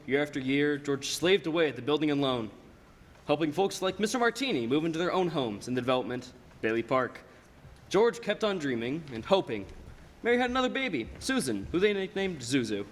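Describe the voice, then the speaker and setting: Young man acting slightly high voice, patient heavenly boss, explanatory, radio play storytelling, reverberant hall. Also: very animated, brisk. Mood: measured, storytelling. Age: young adult. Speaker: high school stage actor. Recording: hall reverb, faint noise, live stage.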